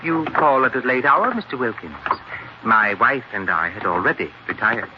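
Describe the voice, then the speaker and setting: Man acting a nervous, jumpy-pitched old husband. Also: animated, moderate pace, close to an everyday voice. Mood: guarded, anxious. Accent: American. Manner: wary, hesitant, formal. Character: nervous, guarded elderly husband with secrets. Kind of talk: 1940s American radio drama acting. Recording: dull, hissy 1940s radio recording.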